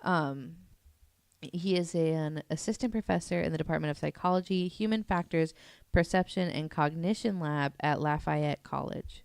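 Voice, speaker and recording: A woman's voice: low voice, normal speed, gravelly, a little mellow, clear, resonant tone, no echo. A young woman co-hosting a casual podcast, explaining research in a chatty way with lots of fillers and giggles. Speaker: podcast co-host presenting research. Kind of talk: casual chat.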